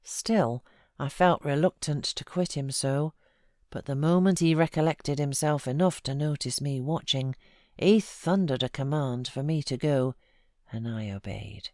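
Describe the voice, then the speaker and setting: A woman with a British accent giving an expressive, measured literary narration as an audiobook reader. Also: a bass voice, dry recording, clean studio sound. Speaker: audiobook narrator, voiceover artist.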